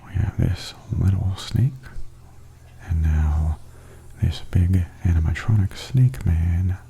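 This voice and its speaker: A man speaks in a very dark, soft, low voice with a gravelly fry, often slipping toward a whisper. He gives gentle, unhurried ASMR commentary as a walking tour guide, with steady ambient noise behind him. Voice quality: breathy, often near-whispered. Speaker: vlogger giving a walking tour. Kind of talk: softly spoken ASMR commentary. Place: walking through a theme park and its indoor attractions.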